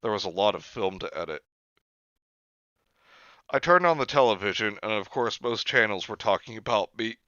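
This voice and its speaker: A man reading a book aloud on a comedy stream, sarcastic and mocking, with slow readings broken up by rants. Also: lowish voice, expressive, pushed and bright, dry recording, lo-fi sound. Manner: sarcastic, mocking, reads passages slowly then rants.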